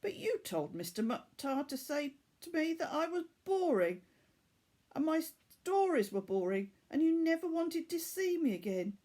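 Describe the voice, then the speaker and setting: A woman's voice: high voice, very animated, a little slow, mellow, voice pushed up. A woman voicing a frightened, headstrong girl in a children's audiobook, emphatic and reproachful. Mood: hurt, indignant. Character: frightened, indignant girl, bound captive, headstrong.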